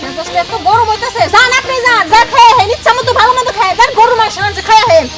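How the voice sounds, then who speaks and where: A woman's voice: very high voice, fast, over loud music. A woman with a Bangladeshi accent playing a snobbish, scornful mother-in-law in a comedy drama, haughty and scolding. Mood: angry scorn. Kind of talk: Bengali comedy drama acting.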